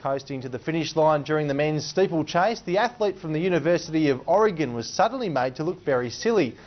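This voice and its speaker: A man's voice: a little high, expressive, fast talker, lo-fi sound. An Australian man presenting sports news, reading from a script with occasional light banter.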